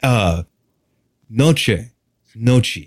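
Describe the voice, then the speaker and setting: A man's voice: mid-range voice, animated, extremely slow, no room sound, clean studio sound. A man from Texas chatting casually as a podcast guest, with a candid, wry, casually profane way of talking. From Texas, United States. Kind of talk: casual interview chat.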